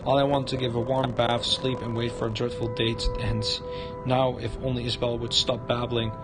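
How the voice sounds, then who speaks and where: A man livestreaming a visual novel and reading its narration aloud in a halting, stumbling way. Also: medium pitch, flat delivery. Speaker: game streamer. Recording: loud music under the voice.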